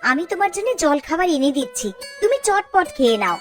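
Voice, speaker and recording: A woman plays an attentive young wife in an animated village tale. Her high voice moves up and down in a lively way, coaxing and fussing, over faint music. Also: fast talker. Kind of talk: cartoon voice acting.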